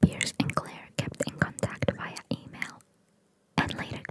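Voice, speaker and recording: A woman speaks entirely in a soft, breathy whisper in the manner of an ASMR host. She reads out short bits of trivia and drops in casual, opinionated asides. Her delivery stays chatty and playful while fully unvoiced. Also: measured pace.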